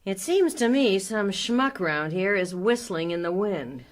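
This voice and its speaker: A woman's voice: mid-range voice, animated, measured pace, natural voice. Radio play: female actor as exasperated wife, mid-range, dry sarcasm, scornful, slight background noise. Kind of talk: radio drama dialogue.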